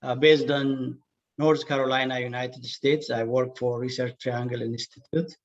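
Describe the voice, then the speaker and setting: A man from Ethiopia with an Ethiopian accent giving an earnest, somewhat halting spontaneous comment in an online session. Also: medium pitch, steady tone. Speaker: audience participant, water resource engineer. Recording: distant, dull video call audio.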